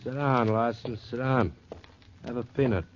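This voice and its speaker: A man's voice: mid-range voice, moderate pace, loud music behind the voice, natural voice. A male actor playing a genial but scheming racketeer boss, sly and needling, in a 1940s radio crime drama. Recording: muffled 1940s radio recording.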